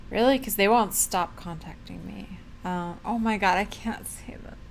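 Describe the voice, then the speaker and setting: A woman's voice: medium pitch, moderate pace, vocal fry, slight background noise. A woman streamer casually commenting on a video game playthrough in short, playful reactions.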